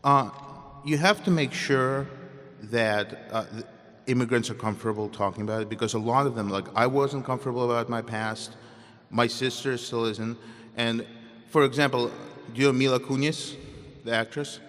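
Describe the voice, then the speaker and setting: A man's voice: medium pitch, steady tone, moderate pace, fairly bright tone. A young man from Ukraine with a Russian accent, answering student questions candidly at a convocation and often breaking off and restarting. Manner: candid, self-interrupting, conversational. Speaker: guest speaker. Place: large hall. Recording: long hall reverb.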